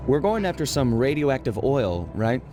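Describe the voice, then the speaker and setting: A man's voice: medium pitch, very animated, normal speed, faint music behind, natural voice. A man voicing a young, easygoing raccoon thief in a video game, with a casual, wry delivery. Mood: wry, relaxed. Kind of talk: animated video game acting.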